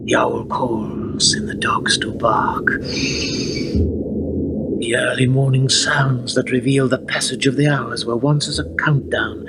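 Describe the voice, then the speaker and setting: Man acting slow, grave, creaky-voiced medieval lord. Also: medium pitch, steady tone, very noisy. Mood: brooding, weary. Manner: solemn, halting, drawn-out delivery. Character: grave, burdened medieval lord, father of a grown son. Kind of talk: archaic theatrical radio play acting.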